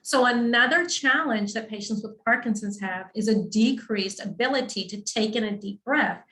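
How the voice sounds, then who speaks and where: A woman's voice: fairly high pitch, moderate pace, sharp tone, roomy sound, low-quality recording. A woman speech-language pathologist explaining clinical topics in a patient, teacherly way, often checking in with the listener. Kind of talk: explanatory webinar talk.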